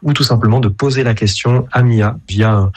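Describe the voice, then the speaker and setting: A man's voice: mid-range voice, normal speed, darkish tone, breathy edge. A man from Belgium, founder of an app, answering radio interview questions with many fillers and restarts.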